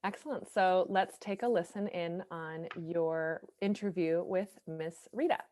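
Brief: woman podcast host, lowish voice, upbeat, quick encouraging replies. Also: darkish tone. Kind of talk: podcast interview.

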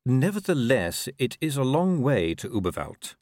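A man voicing a wily, urbane ruler of a city in an audiobook, measured, deadpan and ironic. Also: medium pitch, no room sound, studio quality, pitched above the performer's own voice, lightly acted. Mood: calm, knowing.

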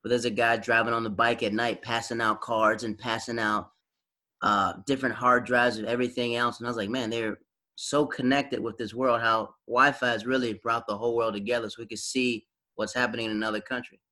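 A man from the United States, a podcast guest chatting casually and telling relaxed stories, acting out the reactions he quotes. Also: mid-range voice, brisk. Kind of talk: casual conversational interview.

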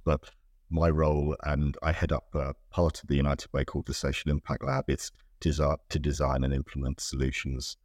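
Guest: British man, middle-aged, extremely deep voice, hesitant and considered. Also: a little mellow, no echo, studio quality. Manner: thoughtful, many ums and restarts. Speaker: guest, innovation director. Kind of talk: casual interview answers.

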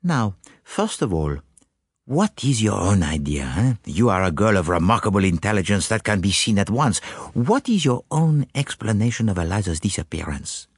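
A courteous foreign detective, acted by a man in an audiobook. He is precise, formal and coaxing, with lilting, very lively intonation in a dry studio sound. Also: on the low side, moderate pace, breathy edge, no room sound, exaggerated voice. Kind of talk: audiobook character voice.

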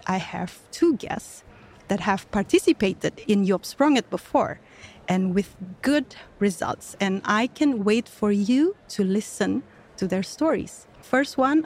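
A woman's voice: mid-range voice, darkish tone. A woman hosting a live podcast interview at an event, speaking in an upbeat, promotional way. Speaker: podcast host.

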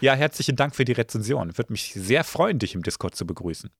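A slightly hoarse man hosting a casual podcast, relaxed and full of filler sounds. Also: mid-range voice, a little fast, dry recording, studio quality. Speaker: podcast host.

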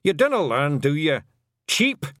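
Brief: rowdy little Scottish pixie men, very high squeaky voices. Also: male voice, big pitch swings, measured pace, fairly bright tone, no room sound, studio quality. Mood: gleeful. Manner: gleeful and murmuring. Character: tiny rowdy male pixie folk.

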